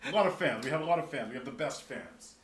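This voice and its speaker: A man's voice: mid-range voice, animated, fast talker, a crowd in the background. A man hosting a podcast, talking casually in a panel discussion. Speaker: podcast host. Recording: roomy echo with clear background noise.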